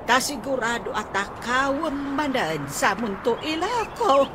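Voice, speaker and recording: A woman's voice: high-pitched, sing-song, unhurried, noisy background. A woman with an Ilocano accent playing an elderly mother who scolds and consoles in a radio comedy drama. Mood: consoling, admonishing.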